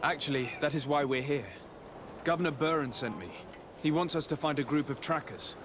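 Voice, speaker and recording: A young gentleman diplomat from a video game cutscene , acted by a man, with a slightly raised pitch. He is composed and resolute, choosing formal, courteous words. A little background noise sits under the voice. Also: close to an everyday voice. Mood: earnest, resolute. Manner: formal, measured, polite. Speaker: diplomat character.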